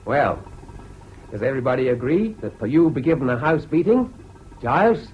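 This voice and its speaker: An actor playing an officious, insistent senior English schoolboy prefect in a radio drama. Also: male voice, medium pitch, sing-song, normal speed, close to an everyday voice. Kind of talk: British radio drama acting. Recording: dull, hissy old radio recording.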